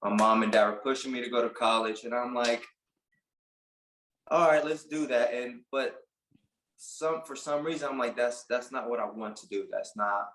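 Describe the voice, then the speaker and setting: A man in his late twenties from Puerto Rico, a barber telling his story in a casual interview, relaxed and halting with many fillers. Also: medium pitch, reverberant. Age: late 20s. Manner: relaxed, halting, lots of "like" and restarts. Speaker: barber and business owner, guest.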